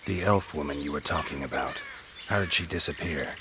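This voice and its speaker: An actor playing a gruff, laconic monster hunter in a fantasy video game, terse and flatly questioning, with a gravelly growl. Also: male voice, rumbling low, a little fast, noisy background. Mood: terse, probing.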